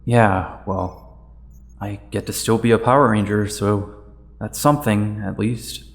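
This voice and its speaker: A male actor plays an awkward, modest young superhero in an audio drama. He speaks hesitantly and very slowly. His voice sits on the low side. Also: fairly even intonation. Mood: resigned, hesitant. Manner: hesitant, understated delivery.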